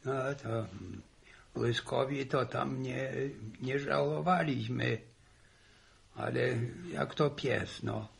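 An old country grandfather in a vintage Polish radio drama. The voice is heavily creaky and slow, muttering and halting as he grumbles and repeats himself. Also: mid-range voice. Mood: rueful. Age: older. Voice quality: gravelly old voice. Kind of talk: 1960s Polish radio serial acting.

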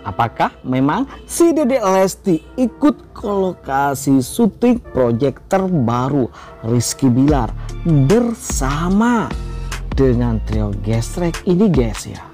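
A man's voice: on the high side, very animated, slow, warm tone. A man reading a scripted celebrity gossip voice-over with a sing-song delivery that swoops up on single words. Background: faint background music.

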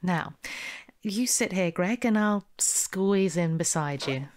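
A woman playing a smooth, bossy con artist with a brisk, persuasive manner in an audio drama. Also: lowish voice, expressive, darkish tone, a little hiss or hum. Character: smooth, bossy female con artist posing as investment promoter.

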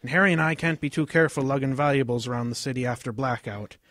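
A man's voice: medium pitch, fast, a bit bright, no room sound, clean studio sound, close to an everyday voice. A man playing an earnest English father, proud of history, lecturing in a radio drama. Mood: placating. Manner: lecturing, storytelling.

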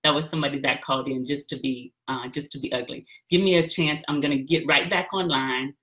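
A woman's voice: low voice, fairly even intonation, a little fast, fairly bright tone, rough recording. A woman in her thirties hosting an online call-in session, speaking casually to her listeners from a small room. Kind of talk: casual, apologetic address to listeners. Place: small home office, indoors.

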